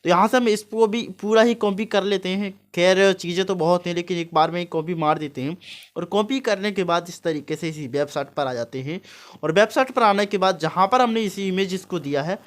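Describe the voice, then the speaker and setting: A man's voice: high voice, brisk. Man giving an informal tutorial. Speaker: tutorial host. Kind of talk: informal step-by-step screen tutorial.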